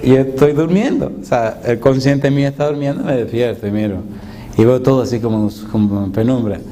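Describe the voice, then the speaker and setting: Lively storytelling pastor, man. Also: mid-range voice, a little fast. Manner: animated, dramatic storyteller who acts out his own reactions. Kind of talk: humorous storytelling sermon. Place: church hall before a congregation. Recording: noisy live recording with audience.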